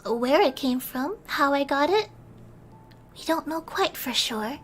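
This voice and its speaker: A woman streamer reading a bedtime story in a soft ASMR style, at times slipping into a whisper. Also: high-pitched, animated, a little slow. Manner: soft-spoken, sometimes whispering.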